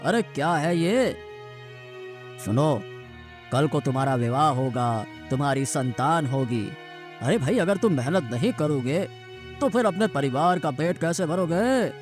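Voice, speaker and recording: A man's voice: on the high side, lively intonation, a little slow. A man playing an old village farmer father in a cartoon moral story, admonishing and worried. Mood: scolding. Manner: exhorting, admonishing. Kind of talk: animated moral story dialogue. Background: background music.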